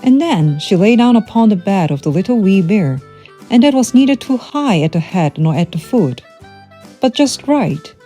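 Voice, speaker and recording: A woman storyteller reading a children's storybook aloud in an expressive, measured way, from a script. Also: mid-range voice, soft background music.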